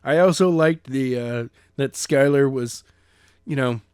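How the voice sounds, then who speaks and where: A man's voice: medium pitch, measured pace, darkish tone, clear, resonant tone, no room sound, studio quality. A man co-hosting a podcast, chatting casually about a book chapter and playfully acting out the characters. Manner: playful, acts out characters in silly voices. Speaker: podcast co-host, narrator.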